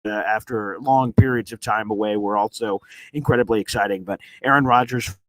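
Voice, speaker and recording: A man whose voice is nearly gone from screaming hosts a live sports reaction stream from a hotel room. He talks in an excited, rambling way, full of "uh"s and restarts. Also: on the low side, fairly quick, rough recording. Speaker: sports reporter and livestream host. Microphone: streaming setup on weak hotel wifi.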